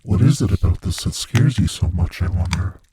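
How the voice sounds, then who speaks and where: A man playing a gleefully cruel monster from under the bed in an intimate ASMR audio roleplay, taunting and menacing in a breathy, whispery voice. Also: lowish voice, expressive, dark tone, slight background noise, close to an everyday voice. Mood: gloating, cruel amusement. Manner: slow, taunting, menacing, savoring words.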